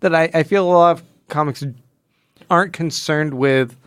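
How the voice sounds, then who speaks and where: A man's voice: fairly high pitch, moderate pace, fairly bright tone, clear, resonant tone, dry recording. A man hosting a podcast, chatting casually and analytically, acting out quoted lines as he retells. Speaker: podcast host. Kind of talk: casual comic book analysis chat.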